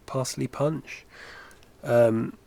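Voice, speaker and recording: Gravelly man rambling while drawing. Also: medium pitch, steady tone, drawn-out words, warm tone. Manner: rambling, self-correcting, chatty asides. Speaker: animation streamer. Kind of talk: casual livestream chat while drawing. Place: home studio, indoors. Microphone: headset.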